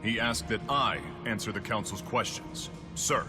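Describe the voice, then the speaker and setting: Man as composed supersoldier, loud music behind. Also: fairly low pitch, measured pace, natural voice. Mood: composed, dry. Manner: clipped, composed military delivery. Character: steady, dry male supersoldier team leader. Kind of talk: sci-fi game cutscene acting.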